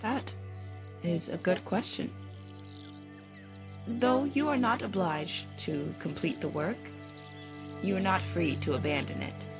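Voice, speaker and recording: An actress playing a serene, all-knowing stranger in an audio drama, speaking in measured, formal, solemn phrases, kind but unyielding. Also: female voice, fairly low pitch, over music. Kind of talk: audio fiction drama acting.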